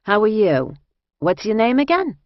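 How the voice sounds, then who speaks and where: A woman's voice: medium pitch, sing-song, moderate pace, dry recording, dull audio, voice pushed up. A woman voicing a tired, helpful fifty-ish female inmate with a New Jersey accent, matter-of-fact, in audiobook dialogue. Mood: plain, helpful.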